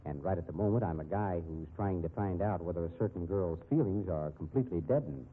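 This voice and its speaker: An actor playing a brash, wisecracking private detective, blunt but caring, in 1950s radio drama style on an old muffled recording. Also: male voice, sits low, a little fast, a little hiss or hum, natural voice. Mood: blunt, challenging. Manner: slangy, blunt, needling.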